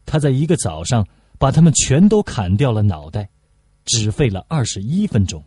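A man's voice: mid-range voice, big pitch swings, moderate pace, warm tone, breathy edge, dry recording. A man reading literary prose aloud as an audiobook narrator.

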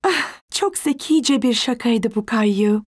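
Caring cartoon mom, higher pitched. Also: female voice, animated, takes it slowly. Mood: amused, praising. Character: caring, encouraging young mother in a cartoon.

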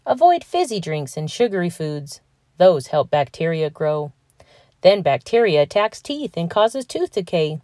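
A woman narrating a children's picture book aloud in a scripted read-aloud style, with clearly enunciated words and expressive emphasis. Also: on the low side, dry recording, clean studio sound.

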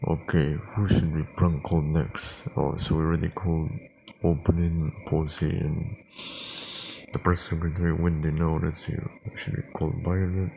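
Low-pitched man, gravelly fry, halting and repetitive, casual improvised chat, noisy recording. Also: flat delivery, mellow. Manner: halting, repeats words. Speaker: prank call video creator, host.

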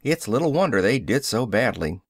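A man's voice: mid-range voice, very animated, normal speed, husky, no echo, studio quality. A man reading Neil, a lecturing scientist. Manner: explanatory, lecturing cadence. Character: adult male social scientist, lecturing and confident. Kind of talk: audiobook character reading.

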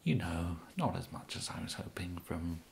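A young man with an English accent whispering an ASMR roleplay as an awkward, polite kidnapper, halting and apologetic, close to the microphone. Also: low-pitched, gravelly. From England. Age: young adult. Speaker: ASMR performer. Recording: steady background hiss.

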